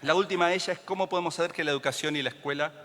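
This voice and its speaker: A man's voice: a little high, rapid-fire, bright, forceful tone. A man from Argentina giving an impassioned conference talk, fervently listing ideas with rising emphasis. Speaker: conference speaker. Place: large theater stage. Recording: long hall reverb from a theater.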